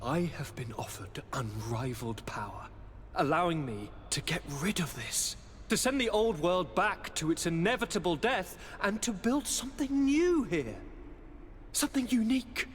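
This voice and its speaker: A man's voice: sits high, lively intonation, moderate pace, a little hiss or hum. An actor playing a zealous, power-hungry young nobleman in a video game, theatrical and impassioned. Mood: grandiose, fervent. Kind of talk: dramatic video game cutscene acting. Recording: long hall reverb, faint ambience.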